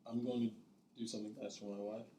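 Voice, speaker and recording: A man in his thirties talks at a dinner table in a measured, thoughtful way, slipping in a dry joke now and then. His voice is fairly low and stays level, with a roomy echo behind him. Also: level tone, warm tone, a little hiss or hum. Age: 30s. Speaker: guest. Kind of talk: casual dinner-table chat. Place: dining room at a dinner table.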